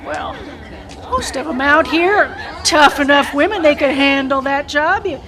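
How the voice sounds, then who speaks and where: A woman's voice: sits high, animated, moderate pace, a crowd in the background. A woman playing a brassy, flirtatious, bossy saloon madam with folksy frontier speech in an amateur radio western. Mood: bossy, excited. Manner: sassy, folksy frontier grammar. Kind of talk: amateur old-time radio play acting.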